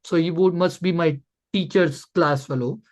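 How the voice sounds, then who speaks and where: A man's voice: fairly high pitch, moderate pace, darkish tone. A man coaching callers in an informal online Q&A, blunt and emphatic, often repeating words to drive a point home. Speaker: coach hosting a Q&A session.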